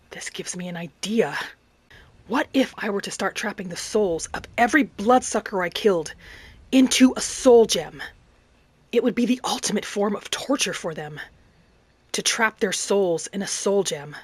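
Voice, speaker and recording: A woman giving in-character roleplay commentary over a game, musing aloud in a dramatic manner. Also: medium pitch. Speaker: gaming roleplay narrator. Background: faint music.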